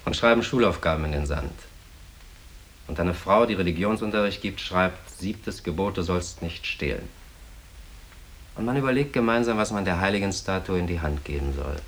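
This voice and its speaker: An actor playing a quietly defiant chaplain in a measured, solemn and reflective way, in a 1950s German radio play. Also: male voice, sits low, lively intonation. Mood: resolute, reflective. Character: steadfast, quietly defiant chaplain refusing to betray fugitives. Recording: hissy 1950s radio recording.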